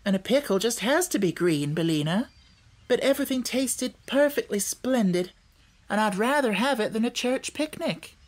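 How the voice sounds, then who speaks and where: A man's voice: very high-pitched, lively intonation, normal speed, voice pushed up. A man voicing a cheerful, confident little girl with an earnest, matter-of-fact manner in a storybook. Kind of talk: audiobook character voice.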